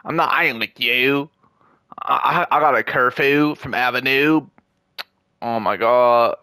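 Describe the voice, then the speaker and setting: A man's voice: mid-range voice, unhurried, pushed and bright, clean tone, no echo. A young man in casual gaming chat with a friend, joking and trash-talking and often repeating his words. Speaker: gamer.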